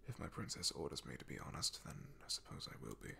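A man playing a young, humble, devoted knight in an ASMR roleplay, soft-spoken and halting, with a breathy, gravelly half-whisper. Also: a bass voice, sing-song, slight background noise, exaggerated voice. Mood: resigned, dutiful.

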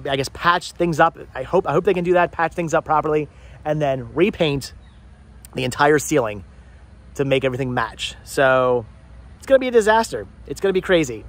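A man in his thirties vlogging outdoors with the phone at arm's length, talking fast and rambling in an exasperated way, often repeating words. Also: mid-range voice. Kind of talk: frustrated life-update vlog. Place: outdoors in a house yard. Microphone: phone camera held at arm's length.

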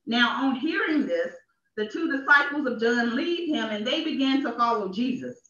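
A woman preacher speaks in a high voice, giving an emphatic sermon with deliberate repetition. She is heard at a distance in a small, echoey church. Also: expressive, fairly bright tone. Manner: emphatic, repeats phrases for effect. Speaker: minister. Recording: echoey, dull church livestream from off.

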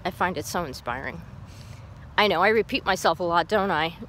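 A woman's voice: on the low side, fairly quick, fairly bright tone. A middle-aged woman chatting cheerfully on a live walking vlog, laughing often, recorded outdoors on a phone held at arm's length. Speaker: vlogger. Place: outdoors on a path in a park.

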